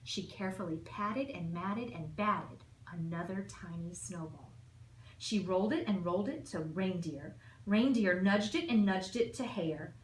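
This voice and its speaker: A woman's voice: on the low side, animated, measured pace. A woman narrating a children's storybook with emphatic, rhythmic storytelling. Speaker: assistant principal reading aloud. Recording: echoey room with faint noise.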